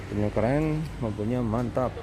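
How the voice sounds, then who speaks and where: A man chatting enthusiastically in a casual car review sales pitch, full of exclamations. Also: fairly even intonation, fairly quick. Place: inside a moving car, back seat. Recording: very loud car and road noise.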